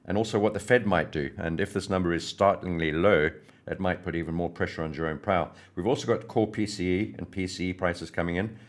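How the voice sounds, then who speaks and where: A hoarse man hosting a trading livestream, greeting viewers by name in a friendly, rambling way before going through market news. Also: deep voice, a little fast. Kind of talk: casual live-stream chat and market commentary.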